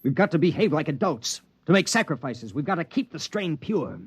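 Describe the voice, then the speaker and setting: An American actor playing a domineering, ambitious, suspicious husband in a sci-fi radio drama, with a stern, lecturing manner. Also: male voice, a little high, sing-song, a little fast, husky. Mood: angry, impatient. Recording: dull, band-limited vintage radio recording.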